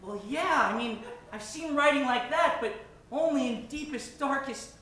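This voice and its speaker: A woman playing a naive, eager young male sidekick with a halting, earnest delivery in an old-time radio comedy. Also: high voice, fairly bright tone. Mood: curious. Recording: echoey stage, distant from mic.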